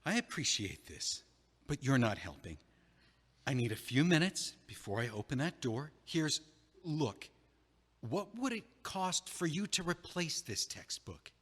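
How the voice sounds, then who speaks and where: A shaken young man who stumbles over his words, stammering in a somewhat high voice. He sounds fragile and dazed in this audio drama. A long reverb trails behind each line. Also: big pitch swings, measured pace, fairly bright tone, husky, heavily acted.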